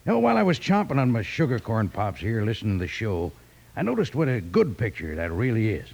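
An actor playing a chummy, folksy cowboy pitchman with a gravelly voice in a 1950s children's radio commercial. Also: quick pace, heavily acted. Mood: jovial. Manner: animated, folksy Western exclamations.

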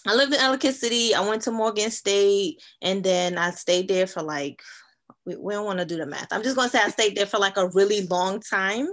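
A woman in her thirties from Trinidad, a relationship coach, talking in a chatty, slangy, animated way as an interview guest. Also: medium pitch, a little fast. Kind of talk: casual interview chat.

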